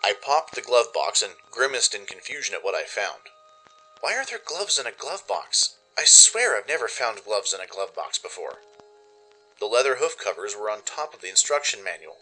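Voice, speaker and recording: A man reading scripted first-person story narration in an expressive, dramatic way, as the narrator of a radio play. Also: fairly low pitch, normal speed, faint music behind, poor audio.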